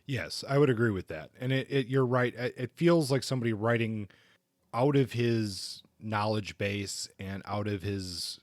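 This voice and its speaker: A middle-aged man co-hosting a book podcast, talking casually in a halting, hesitant way with measured judgments. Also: lowish voice, no echo, studio quality.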